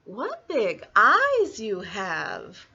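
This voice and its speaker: A woman's voice: mid-range voice, huge pitch swings, drawn-out words, gravelly, sharp tone, slightly rough, lo-fi sound, exaggerated voice. A woman voicing a trusting, polite little girl in a storybook, earnest and wide-eyed in a sing-song way. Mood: puzzled.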